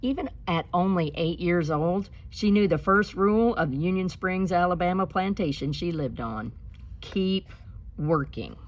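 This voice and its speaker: A woman reading a storybook aloud like a teacher, with deliberate, expressive phrasing and instructive delivery. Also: fairly low pitch, muffled recording, a little hiss or hum. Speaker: teacher reading a picture book aloud to pupils.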